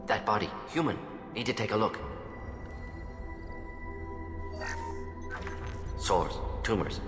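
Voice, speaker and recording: A man's voice: on the low side, lightly acted. Man as a clipped alien scientist, echoing hall. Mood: clinical, observing. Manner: clipped telegraphic phrases, matter-of-fact. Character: clinical, brisk male alien scientist, keenly analytical. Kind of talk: video game voice acting. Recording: echoey hall, music behind.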